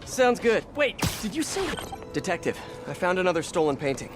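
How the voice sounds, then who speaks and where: A man's voice: high voice, big pitch swings, a little fast, music in the background. An actor playing a wisecracking, eager young American male superhero in a video game, with a casual delivery. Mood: upbeat, joking. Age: young adult.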